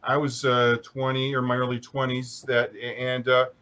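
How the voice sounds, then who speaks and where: A male interviewer in casual conversation who stumbles and repeats himself as he works into a thought. His voice sits at an ordinary middle pitch. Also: monotone, sharp tone. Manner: halting, repeats words while starting a point. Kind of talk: casual video-call interview.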